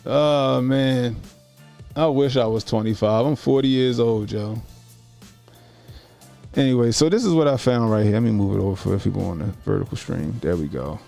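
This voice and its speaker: A man's voice: medium pitch, normal speed, vocal fry, dark tone, soft background music. A man in his forties doing casual livestream commentary as a YouTube host, talking informally and repeating phrases for emphasis. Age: 40s.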